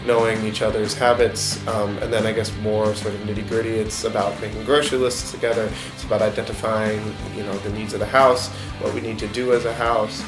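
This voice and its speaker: A man's voice: lowish voice, little pitch movement, brisk, few pauses. An American man in his twenties giving a hesitant, unscripted personal testimonial. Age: 20s. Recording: echoey, guitar music behind.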